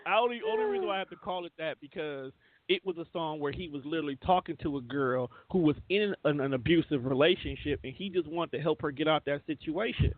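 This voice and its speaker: Male talk show co-host, slightly high voice, insistent, casual, narrow phone-quality audio. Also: big pitch swings, fast talker.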